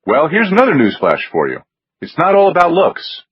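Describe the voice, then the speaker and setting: A plain-spoken guy, acted by a male narrator with a raspy edge and lively pitch. He is a defensive, sarcastic wisecracker, heard in a close, dry audiobook recording that is a touch dull. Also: a little fast, no echo, heavily acted. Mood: exasperated. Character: wisecracking, defensive everyman, wry and exasperated. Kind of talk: audiobook character voice.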